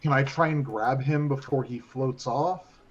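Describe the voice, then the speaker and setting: A man's voice: medium pitch, a little slow. Dull-sounding male tabletop player. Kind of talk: casual improvised table talk. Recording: dull lo-fi mic with background noise.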